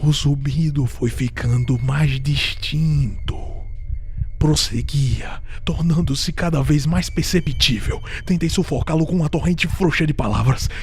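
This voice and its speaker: A guilt-ridden killer with a Brazilian accent, telling his gothic horror tale at a slow, deliberate pace. His delivery is theatrical and intense, in a somewhat high male voice. Music plays behind him, audio drama style. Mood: uneasy, agitated. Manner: theatrical, intense, swinging from hushed to shouting. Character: guilt-ridden murderer, unhinged, increasingly frantic, confessing.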